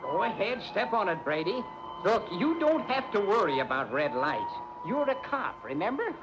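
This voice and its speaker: An actor playing a gruff, impatient older police lieutenant in a 1940s radio mystery, bossy and exasperated. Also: male voice, sits high, very animated, moderate pace. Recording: muffled 1940s radio recording, faint noise.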